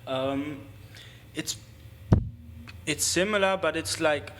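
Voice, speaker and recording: A young man from Germany and Spain whose first language is German, talking in a casual, halting way full of "like" as a guest in a studio interview. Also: on the high side, background noise. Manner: loose, halting, heavy use of "like" and swearing. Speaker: guest, BMX rider.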